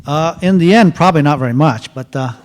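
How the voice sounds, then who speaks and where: A middle-aged man telling a story casually at a university event, halting with many fillers and restarts. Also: fairly high pitch, a little hiss or hum. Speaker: author speaking at a university event.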